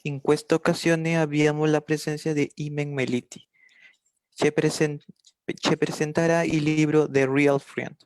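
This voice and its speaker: Brisk man announcing a show. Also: on the high side, little pitch movement, darkish tone, clean tone, no room sound. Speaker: host. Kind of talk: formal show introduction.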